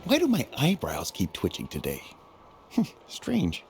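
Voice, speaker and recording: Genial, very animated shopkeeper. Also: male voice, on the low side, measured pace, creaky, a little hiss or hum, heavily acted. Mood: puzzled. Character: friendly, generous male shopkeeper, genial and easygoing. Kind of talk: English video game voice acting. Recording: game music behind the voice.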